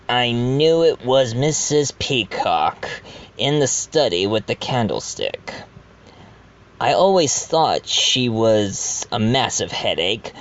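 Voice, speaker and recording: A man's voice: mid-range voice, a bit bright. A man playing a murdered doctor's ghost who wryly narrates an amateur mystery audio drama, in a dull recording. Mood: satisfied.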